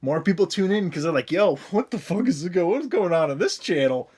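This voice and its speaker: A man's voice: sits high, animated, a little fast, darkish tone, breathy edge. A man hosting a podcast in casual comedic chat, swearing freely with an irreverent, joking manner. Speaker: podcast host.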